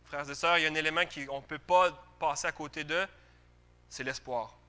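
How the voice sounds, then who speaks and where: A man's voice: a little high, steady tone, brisk, bright, forceful tone, clear, resonant tone. A man with a Quebec French accent preaching a sermon to a congregation in an emphatic but conversational way, often putting questions to his listeners.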